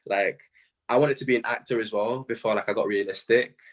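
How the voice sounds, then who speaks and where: A young British man in a casual interview, telling stories in slangy, animated speech full of quoted asides. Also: medium pitch, fairly quick. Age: young adult. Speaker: music artist being interviewed.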